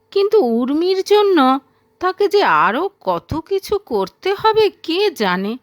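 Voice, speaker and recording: A woman narrating a scripted story in an expressive, dramatic storytelling manner. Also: high-pitched, dry recording.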